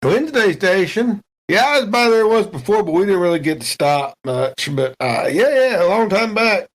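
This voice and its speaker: Man acting out a rustic space crewman, slightly high voice, folksy, easygoing, overconfident, rambling, tabletop game. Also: animated, normal speed. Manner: drawling, rustic, rambling small talk with homespun sayings. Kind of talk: improvised in-character dialogue in a tabletop game.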